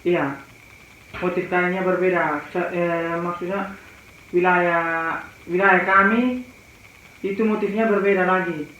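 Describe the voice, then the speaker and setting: A man's voice: sits high, fairly even intonation, slow, long pauses, pushed and bright. A man from East Nusa Tenggara with an eastern Indonesian accent, answering questions as an interview guest. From Malaka, East Nusa Tenggara, Indonesia. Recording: very echoey, muffled, faint noise.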